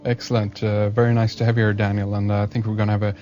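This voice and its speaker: A man hosting a radio show, introducing and interviewing a guest in a slow, hesitant way with many uhs. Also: lowish voice, flat delivery, warm tone, muffled recording. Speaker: radio host. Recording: music bed behind voice.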